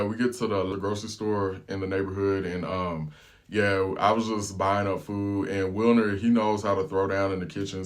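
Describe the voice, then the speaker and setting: An American man vlogging, telling a relaxed, slangy travel story in casual chat. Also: fairly low pitch. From United States. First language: English.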